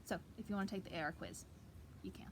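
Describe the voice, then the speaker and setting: A woman's voice: mid-range voice, quick pace, heavy background noise. A woman teacher talking and reading to young pupils in a casual, folksy, encouraging storytime manner, with explanatory asides. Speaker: elementary school teacher reading aloud to pupils. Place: outdoors on her back porch. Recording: outdoor home recording with background ambience.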